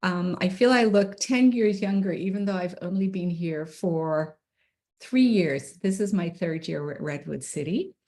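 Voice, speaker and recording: A woman's voice: fairly low pitch, a little slow, dark tone. A middle-aged woman from Ireland, presenting slides to parents at an online meeting in a friendly, informal way with frequent ums, on a computer mic. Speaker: special education administrator.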